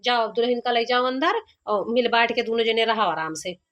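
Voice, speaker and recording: A woman's voice: fairly high pitch, brisk, a bit bright, dull audio, close to an everyday voice. A woman voicing a coaxing, practical village mother with an Awadhi accent in a cartoon comedy. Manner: coaxing, questioning.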